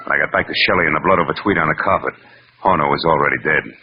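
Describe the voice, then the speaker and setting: A man's voice: rumbling low, very fast, slight background noise. An American man acting a cynical, tough-talking private detective in wry, terse vintage radio noir style. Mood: tense narration. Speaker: narrator and lead actor. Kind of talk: 1940s-50s radio noir narration and acting. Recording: muffled vintage radio recording with music.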